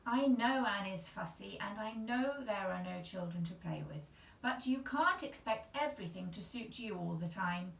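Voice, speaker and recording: Woman playing brisk, exasperated storybook mother, echoey. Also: mid-range voice, lively intonation, gravelly, recorded from a distance. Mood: firm. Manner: sharp, scolding.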